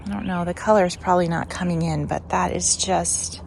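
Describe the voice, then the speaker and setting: A woman's voice: on the low side, steady tone, fairly quick, creaky, darkish tone, a little hiss or hum. A woman hosting a gardening vlog, chatting casually and musing as she shows her garden. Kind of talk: casual garden tour chat.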